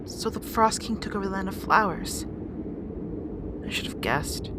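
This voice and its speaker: A woman's voice: medium pitch, expressive, normal speed, heavy background noise, lightly acted. A woman playing a young rat princess warrior in an audio drama, earnest and defiant. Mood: dejected, guilty.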